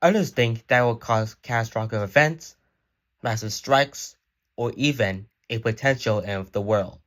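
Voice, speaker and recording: A man's voice: fairly low pitch, moderate pace, a bit bright. Man reading a formal emergency broadcast announcement. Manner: formal, solemn. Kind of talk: scripted emergency news announcement.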